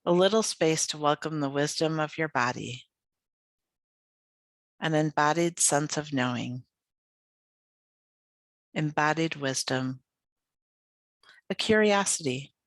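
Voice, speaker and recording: A meditation guide leading a yoga nidra practice in a soothing, unhurried, gently inviting way with pauses. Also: medium pitch, dry recording.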